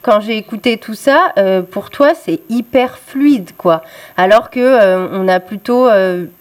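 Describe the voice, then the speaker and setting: A woman's voice: mid-range voice, unhurried. A young woman hosting a radio interview, speaking hesitantly with many filler sounds. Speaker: radio host.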